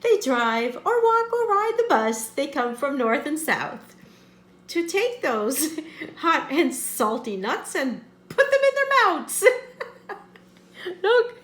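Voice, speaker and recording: A woman's voice: high-pitched, sing-song, takes it slowly, fairly bright tone. A woman reading a picture book aloud, constantly breaking into giggles and making teasing asides. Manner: constant giggling, cracks up between lines. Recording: roomy, echoey sound.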